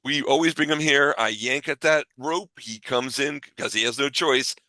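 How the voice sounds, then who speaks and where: A man hosting a podcast in a casual, bantering way, with a jokey manner and some drawn-out words. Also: medium pitch, sharp tone, no echo. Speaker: podcast host.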